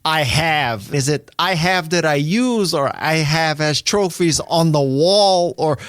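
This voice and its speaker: A man's voice: on the high side, slow pace, fairly bright tone, clean tone, no room sound, clean studio sound. Playful older man hosting an interview. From Hawaii. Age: 60s. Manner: playful, laughs often, teasing. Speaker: podcast host. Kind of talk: casual interview chat. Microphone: video call.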